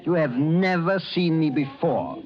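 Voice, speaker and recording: A man's voice: fairly high pitch, animated, measured pace. An actor playing a stiff, brusque German man who resents company, with a German accent, in an old-time radio drama. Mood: coldly threatening. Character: curt, indignant German man refusing company. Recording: dull vintage radio recording, crowd murmur.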